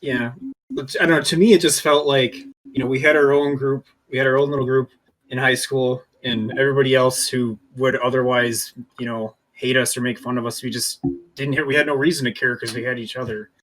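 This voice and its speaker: A man's voice: mid-range voice, fairly even intonation, brisk, fairly bright tone, poor audio. A man in his thirties talking casually as a podcast co-host. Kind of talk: casual chat.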